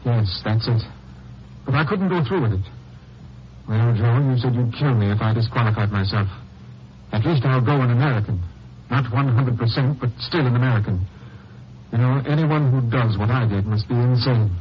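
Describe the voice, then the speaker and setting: An actor playing a remorseful, anguished American judge in a 1950s radio drama. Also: male voice, medium pitch, moderate pace, lightly acted. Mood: anguished, defiant. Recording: dull, faintly noisy old radio recording.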